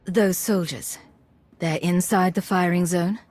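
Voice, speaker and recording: An actress playing a composed, confident military commander in a video game, assured and deliberate, with a husky voice. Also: female voice, lowish voice, steady tone, slight background noise, natural voice. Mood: composed, serious.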